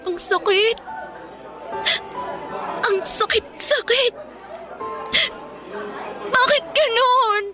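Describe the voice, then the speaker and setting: A woman's voice: extremely high pitch, extremely slow, long pauses, music in the background, a crowd in the background, heavily acted. An actress playing a lovestruck, pushy young woman in a Filipino radio drama, earnest and melodramatic with a Filipino accent. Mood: tearful, desperate.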